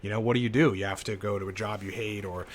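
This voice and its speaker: A man's voice: lowish voice, fairly even intonation, quick pace, a little hiss or hum. Rambling, cursing man in his late thirties. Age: 30s. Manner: rambling, profane, fillers like "you know" and "like". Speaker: channel host, entrepreneur. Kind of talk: casual unscripted monologue to camera.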